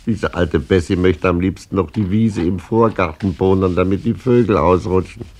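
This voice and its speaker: An actor playing an old blind sea captain in a radio play, giving a chuckling, gossipy running commentary on the street. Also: male voice, sits low, moderate pace, darkish tone.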